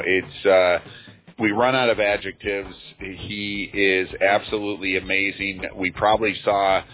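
Brief: man, 50s, radio sports announcer, lowish voice, thin phone-line sound, faint music behind. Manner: relaxed, chatty, with frequent fillers. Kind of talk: high school football radio commentary.

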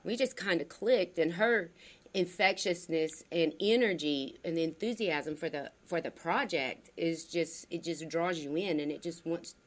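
A woman's voice: fairly low pitch, unhurried. A woman talking casually and with enthusiasm on a local radio show. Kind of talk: casual conversational talk. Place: radio studio.

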